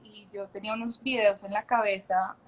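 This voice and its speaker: A woman's voice: mid-range voice, flat delivery, moderate pace, poor audio. A young Colombian woman with a Colombian accent, a guest actress talking candidly on a video call and laughing often. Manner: chatty and candid, laughs often, many restarts. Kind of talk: casual interview chat.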